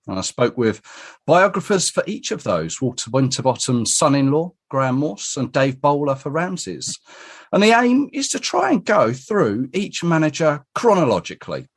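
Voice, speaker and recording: A man speaks as a podcast host in a conversational interviewing style. His pitch is very animated, rising and falling often across his sentences.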